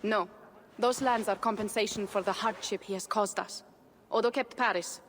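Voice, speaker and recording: A woman's voice: mid-range voice, fairly even intonation, moderate pace, fairly bright tone, slight background noise, natural voice. A woman playing a proud young Viking jarl. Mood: earnest. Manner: firm and earnest. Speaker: jarl character. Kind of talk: game cutscene dialogue.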